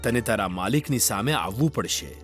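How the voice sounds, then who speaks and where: A man's voice: lowish voice, expressive, moderate pace, over music. A man narrating a scripted moral story for children.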